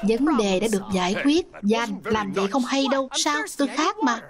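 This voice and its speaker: A woman dubbing all the characters of an animated series by herself, shifting between many voices, some lowered and gruff. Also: moderate pace, a crowd in the background. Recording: loud music behind the voice.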